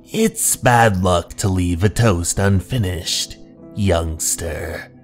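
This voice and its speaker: A man voicing a gruff, domineering old lighthouse keeper who talks like an old-fashioned sailor in a horror manga story. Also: deep voice, slow pace, soft background music. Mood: stern. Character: gruff, bossy old male lighthouse keeper, superstitious seaman.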